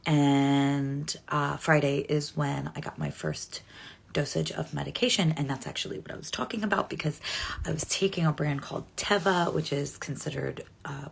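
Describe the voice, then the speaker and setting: Chatty woman in her forties, low gravelly voice. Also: steady tone, normal speed, slight background noise. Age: 40s. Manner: chatty, rambling, self-correcting with frequent ums. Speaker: livestream host. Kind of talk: casual live chat with viewers.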